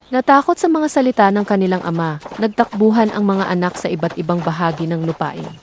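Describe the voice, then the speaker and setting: A woman's voice: fairly low pitch, a little fast, a little hiss or hum. A woman storyteller reading a scripted folktale narration.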